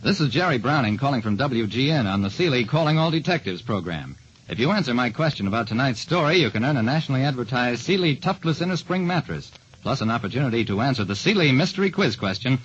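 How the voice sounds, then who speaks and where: A man's voice: medium pitch, sing-song, fast, slightly rough, lo-fi sound. A man narrating and hosting in 1940s radio style, on an old radio recording. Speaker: detective show star and quiz host.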